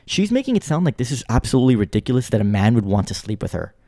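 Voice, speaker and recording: Blunt, lecturing man with a mellow voice. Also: mid-range voice, big pitch swings, rapid-fire, no room sound, studio quality. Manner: blunt, lecturing, repeats points for emphasis. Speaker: commentator. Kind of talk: reaction commentary.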